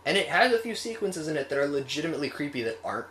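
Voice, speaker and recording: A man's voice: a little high, big pitch swings, very fast, a bit bright. A man co-hosting a review show, talking casually and opinionatedly in a group chat and swearing freely. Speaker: co-host of a film review show. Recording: roomy echo with faint noise.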